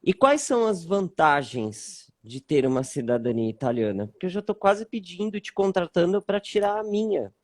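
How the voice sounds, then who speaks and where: A man's voice: sits high, sing-song, normal speed, hardly any pauses. A Brazilian man hosting a live online chat, upbeat and chatty, reading comments and asking questions. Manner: upbeat, reads viewer comments aloud. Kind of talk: casual live interview.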